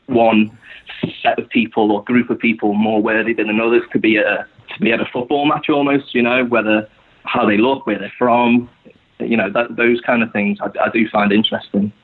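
A young Scouse man from Liverpool chats as a podcast guest, his speech looping through fillers and restarts. His voice is husky and a little breathy. The sound comes through thin and tinny, like a phone call. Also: medium pitch, fairly even intonation, brisk. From Liverpool, England. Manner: rambling, full of "you know" fillers. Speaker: guest supporter. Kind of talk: casual podcast conversation.

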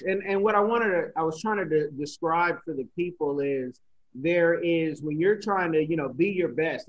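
A man hosts the interview with an earnest, encouraging manner, often restarting his phrases. His voice runs a little high. The audio is dull and lo-fi. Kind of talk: casual interview questions.

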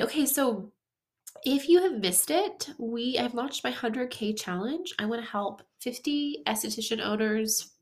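A woman's voice: on the high side, fairly bright tone. A woman hosting a solo podcast who sounds nasal and stuffed up from a head cold. She talks in a chatty, upbeat way, coaching her listeners directly with casual fillers and restarts. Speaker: podcast host.

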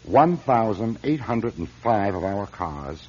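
An actor playing a shrewd, guarded car company chief in a radio drama, speaking with authority and probing. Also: male voice, fairly low pitch, sing-song, slow, darkish tone, slightly rough, heavily acted. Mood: grave urgent. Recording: dull, muffled radio drama recording.

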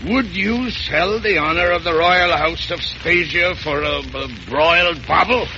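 Blustering, high-pitched old count. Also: male voice, unhurried, pushed and bright. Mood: indignant, theatrical. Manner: theatrical, indignant, pompous. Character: blustering, pompous older exiled count, scheming uncle. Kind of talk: 1930s-40s radio comedy acting. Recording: noisy, muffled old radio recording.

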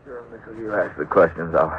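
An actor playing a wounded, cooperative male thief confessing from a hospital bed, halting and subdued, in a 1950s radio drama. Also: deep voice, natural voice. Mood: weak, cooperative. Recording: muffled, dry old radio recording.

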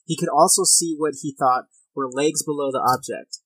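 A man's voice: fairly high pitch, steady tone, moderate pace, fairly bright tone, husky, no room sound, poor audio. A man of thirty hosting a podcast in a casual, informal and self-deprecating way. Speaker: podcast host. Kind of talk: casual podcast talk and reading of accounts. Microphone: headset, recording at own setup.

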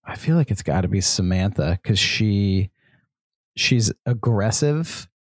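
A man co-hosting a podcast in casual chat, eager to share facts and quick to laugh. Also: fairly low pitch, expressive, no room sound, clean studio sound. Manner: chatty, shares trivia facts, laughs often. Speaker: podcast co-host.

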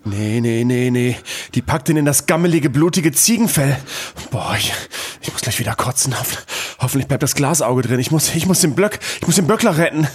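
A man playing a whiny, easily frightened car dealer in a comic radio play, with a pleading manner and a husky voice. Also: mid-range voice, lively intonation, fairly quick. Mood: panicked. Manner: whining, pleading.

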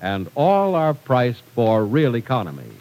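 A man's voice: medium pitch, wildly swooping pitch, measured pace, darkish tone, low-quality recording. A man working as a 1940s radio announcer, reading scripted copy in a polished, emphatic way.

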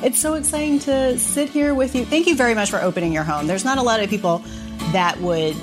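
A woman's voice: on the high side, fairly quick, music in the background. A woman in her forties hosting a podcast, moving from a prepared introduction into eager interview questions. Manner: enthusiastic, warm questioning. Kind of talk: scripted intro then interview. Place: guest's home.

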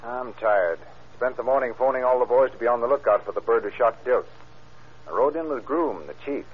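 An actor playing a steady, inquisitive railroad detective in an old-time radio crime drama. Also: male voice, mid-range voice, husky, close to an everyday voice. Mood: businesslike. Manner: brisk, inquisitive, matter-of-fact. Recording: tinny, noisy old radio recording.

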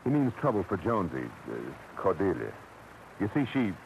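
An actor playing a world-weary American private detective, narrating in clipped, slangy 1940s radio noir style. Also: male voice, sits low, wildly swooping pitch, brisk, lo-fi sound, a little hiss or hum, exaggerated voice. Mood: urgent. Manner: hard-boiled, clipped, slangy. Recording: old radio drama with music bed.

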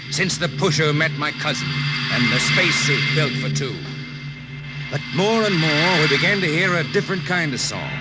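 A man's voice: medium pitch, rough recording. An American actor playing a seasoned, loyal spaceship jetman who tells his tale in dramatic old-time radio style. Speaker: narrator and character. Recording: vintage radio broadcast with music. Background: music.

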